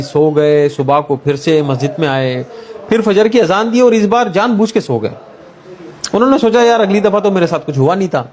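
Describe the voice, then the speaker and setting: A man telling a religious moral story in an informal, conversational way, mixing in everyday English words. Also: sits high. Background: background music.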